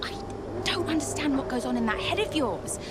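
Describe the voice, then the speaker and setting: An actress playing a hurt, defiant young racing driver in a video game, confrontational and plain-spoken in a naturalistic dramatic style. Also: female voice, sits high, animated, a little fast, loud music behind the voice. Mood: frustrated, defiant. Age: young adult.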